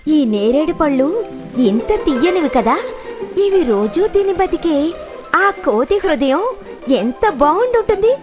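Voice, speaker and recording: A woman acts out a greedy, demanding wife crocodile from a kids' cartoon. The voice is piercingly high. Music runs underneath. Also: very animated, slow, long pauses, heavily acted. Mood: greedy, bored. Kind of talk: children's cartoon story voice acting. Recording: music behind the voice.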